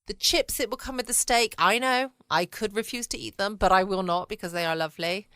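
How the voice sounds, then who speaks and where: A woman with a southern English accent hosts a chatty solo podcast in a rambling, informal way. Her voice is bright and medium-pitched, recorded close and dry. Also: brisk, clear, resonant tone, no room sound. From United Kingdom. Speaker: podcast host. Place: at home, dry close room.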